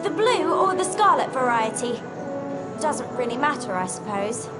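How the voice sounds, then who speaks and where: A woman's voice: sits high, big pitch swings, normal speed, over loud music. A woman voicing a bookish, sensible young schoolgirl witch in a video game, with an earnest manner. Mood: matter-of-fact. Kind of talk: video game character acting.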